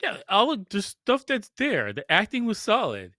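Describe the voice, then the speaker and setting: Male podcast co-host, bright forceful voice on the higher side, casual and bluntly opinionated. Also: wildly swooping pitch, normal speed, no echo, clean studio sound. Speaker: podcast co-host reviewing films. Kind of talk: casual film review chat.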